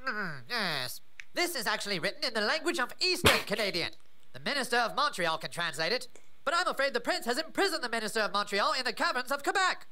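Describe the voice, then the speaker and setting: An actor playing a stuffy, self-satisfied Canadian earl in a comedy game, speaking in a mock-grand manner. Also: male voice, very high voice, sing-song, fast, very noisy. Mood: self-important. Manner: mock-grand, old-fashioned exclamations. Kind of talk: cartoon comedy game acting.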